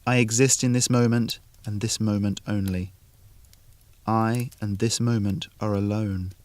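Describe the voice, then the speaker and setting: Low-voiced man narrating atmospheric fiction. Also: fairly even intonation, a little slow, a little mellow, no echo. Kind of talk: atmospheric scripted short story narration.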